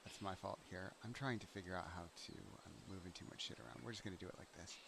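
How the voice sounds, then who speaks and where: Low-voiced casual game master. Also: male voice, quick pace, creaky, background noise. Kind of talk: running a tabletop game session.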